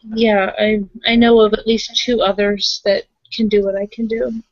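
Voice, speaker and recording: A woman chats in character during a tabletop game, feeling her way through each thought in a tentative way. Her voice is mid-pitched, recorded dry with a slightly dulled top end. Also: level tone, moderate pace, dry recording, highs cut off. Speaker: role-playing game player. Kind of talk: casual improvised in-character chat.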